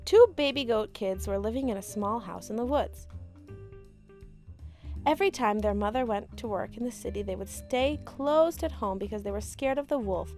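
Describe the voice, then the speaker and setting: A woman's voice: on the high side, animated, normal speed, a little mellow. A woman narrating a children's fairy tale as a storyteller, reading from a script. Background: music.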